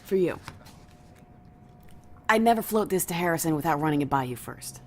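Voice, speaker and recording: A woman's voice: deep voice, animated, moderate pace, background noise. A woman playing a loyal, foul-mouthed security deputy in a science fiction audio drama, speaking casually and colloquially, a little hesitant with her boss. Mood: tentative, pleading.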